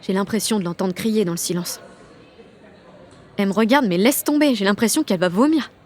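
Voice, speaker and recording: A woman's voice: medium pitch, brisk, darkish tone, slight background noise, close to an everyday voice. An actress playing a rebellious, emotional sixteen-year-old girl who narrates an audio drama in blunt, sarcastic slang. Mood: melancholy. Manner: slangy, blunt teenage delivery. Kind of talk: first-person audio fiction narration.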